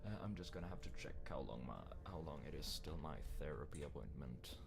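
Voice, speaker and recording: A man's voice: very deep voice, fast, gravelly, music in the background. A man chatting casually on a solo livestream, muttering to himself as he goes. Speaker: streamer practicing voice acting.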